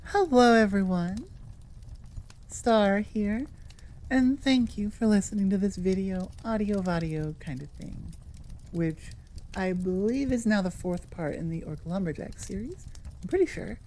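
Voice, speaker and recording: A woman's voice: medium pitch, unhurried, warm tone. A woman hosting her own channel, chatting casually to listeners in a rambling, playful, self-correcting way. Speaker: ASMR creator, channel host. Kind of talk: casual chat outro to listeners.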